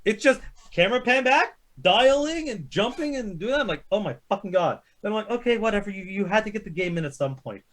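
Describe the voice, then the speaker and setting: A man's voice: high-pitched, expressive, brisk, hardly any pauses. A man chatting casually about movies as a panelist, blunt and opinionated, swearing heavily as he rants. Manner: heavily profane, blunt, ranting. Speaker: panelist ranking films on a chat show.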